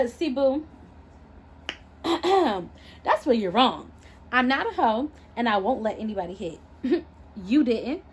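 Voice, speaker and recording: A woman's voice: on the high side, sing-song, slow pace, long pauses, slight background noise. A woman voicing a brash, sassy, unapologetic young woman in storybook dialogue, sarcastic, profane and slangy. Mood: amused, defiant. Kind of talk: expressive audiobook-style character dialogue.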